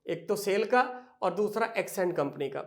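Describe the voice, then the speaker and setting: Man teaching accounting to students. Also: sits high. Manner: addresses students as "beta", asks and answers own questions; slow, drawn-out dictation of entries. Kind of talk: classroom lecture.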